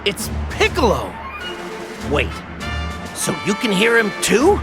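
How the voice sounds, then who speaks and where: Earnest, lively young-hero voice. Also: female voice, mid-range voice, takes it slowly, over music, full character voice. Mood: surprised. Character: earnest, determined hero, loyal to friends. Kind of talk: dubbed anime video game cutscene acting.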